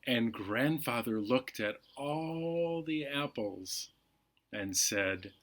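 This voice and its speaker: A man with a medium-pitched voice hosts a bedtime storytime for children. He speaks slowly, with deliberate, unhurried phrasing, as a storyteller does when reading aloud. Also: lively intonation, fairly bright tone. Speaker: library storytime host.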